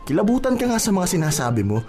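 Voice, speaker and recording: Man playing a grumpy, fast-talking Filipino garbage collector. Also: high-pitched, very animated, darkish tone, soft background music. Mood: annoyed, dismissive. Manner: curt, scolding, impatient. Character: grumpy, aloof male garbage collector, easily irritated. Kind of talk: Filipino radio drama acting.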